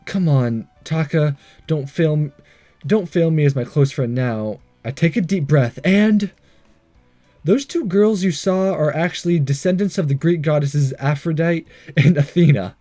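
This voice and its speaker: A man's voice: on the high side, measured pace, dark tone, soft background music. A man hosting a game playthrough, reading visual novel text aloud with jokey asides and the odd stumble.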